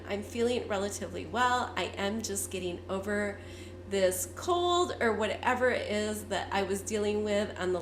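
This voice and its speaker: A fifty-year-old woman, hoarse and congested from a cold, chatting candidly to her viewers. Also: medium pitch, roomy sound, slight background noise. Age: 50s. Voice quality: raspy. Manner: candid, chatty, with frequent fillers. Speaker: vlogger. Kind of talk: casual talk to camera.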